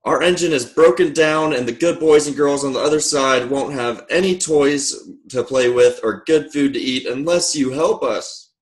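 A man's voice: moderate pace, hardly any pauses, close to an everyday voice. A man voicing small dolls and toys in a children's storybook, desperate, hopeful and imploring. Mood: hopeful pleading. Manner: squeaky, imploring cries.

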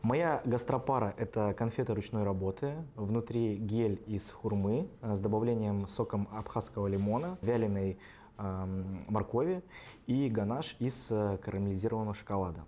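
A man from Tyumen, a bartender contestant, speaking thoughtfully with pauses to camera. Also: lowish voice, dark tone, slight background noise. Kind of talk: interview to camera.

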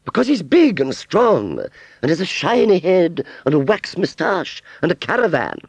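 A man's voice: on the high side, very animated, moderate pace, slightly rough, no room sound, voice pushed up, exaggerated voice. A man voicing a vain, haughty female fairground doll in a storybook, with an affectedly genteel but ungrammatical way of speaking. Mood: smug, condescending.

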